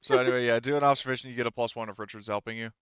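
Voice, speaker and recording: Smooth low male game master, talks casual, kinda hesitant, close dry slightly muffled sound. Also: fairly even intonation, rapid-fire, fairly bright tone, no room sound. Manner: hesitant, thinking aloud. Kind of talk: casual tabletop game narration with friends.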